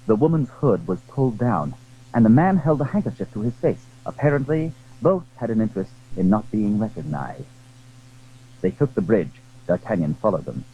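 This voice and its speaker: A man narrating a period adventure story in a measured, literary, dramatic style. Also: mid-range voice, narrow, tinny audio, slight background noise.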